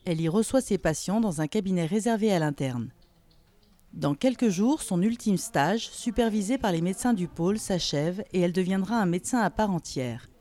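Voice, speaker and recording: A woman's voice: on the low side, animated, moderate pace, warm tone. A woman reporter presenting a scripted documentary-style report, narrating in complete, prepared sentences. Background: background music.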